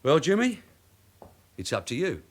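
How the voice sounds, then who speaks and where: An actor playing a shrewd veteran detective sergeant in a British radio drama, a husky voice and terse, probing delivery. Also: male voice, on the high side, huge pitch swings, a little slow, exaggerated voice. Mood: firm, controlled. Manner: terse, probing questions and clipped orders.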